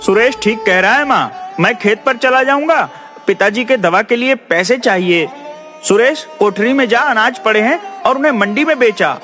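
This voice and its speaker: Guy doing a simple honest kid brother for a cartoon, super high and piercing, dutiful. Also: normal speed, bright, forceful tone. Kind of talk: children's cartoon story. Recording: music behind the voice.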